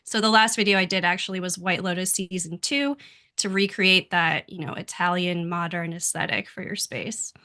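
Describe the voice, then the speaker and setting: A woman speaking as a podcast guest in casual chat, reflective and measured in manner. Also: fairly low pitch, sharp tone.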